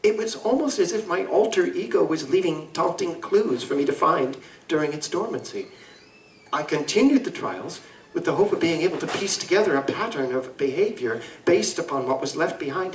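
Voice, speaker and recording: Man acting an anguished gentleman scientist, highish voice, roomy. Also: lively intonation, a little hiss or hum, natural voice. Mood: troubled, regretful. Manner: formal, eloquent, deliberate. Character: anguished gentleman scientist confessing to a monstrous alter ego. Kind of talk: formal Victorian-style audio drama acting. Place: live convention performance in a room. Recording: roomy live stage recording, distant mic.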